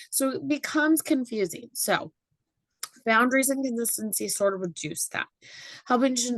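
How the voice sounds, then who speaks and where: Slightly hoarse woman leading a workshop. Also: on the high side, dry recording. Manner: chatty, with many fillers and tag questions. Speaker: parenting workshop facilitator. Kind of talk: informal workshop presentation. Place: meeting room with live audience.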